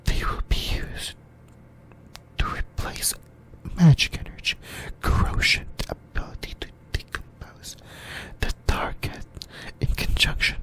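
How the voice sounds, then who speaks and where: An ASMR host of unclear sex, whispering a scripted explainer in a breathy, halting way, often repeating and correcting phrases. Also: fairly bright tone. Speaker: host of an anime ASMR channel.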